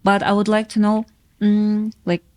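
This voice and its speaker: A woman's voice: medium pitch, completely flat delivery, normal speed, darkish tone. Chatty woman podcast host. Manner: casual, loose phrasing with many fillers. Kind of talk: podcast interview.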